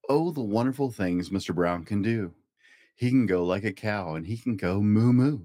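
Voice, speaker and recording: A man reading a rhyming children's picture book aloud in a playful, expressive way, as a storytime host. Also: on the low side, moderate pace, dry recording, studio quality. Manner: playful, acts out animal and object sound effects.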